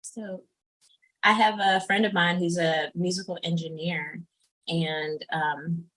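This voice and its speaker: A woman's voice: fairly low pitch, fairly even intonation, slow, fairly bright tone, rough recording. A woman in her late thirties hosting a casual podcast interview, chatty and self-deprecating, with lots of "um"s. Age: 30s. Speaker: podcast host.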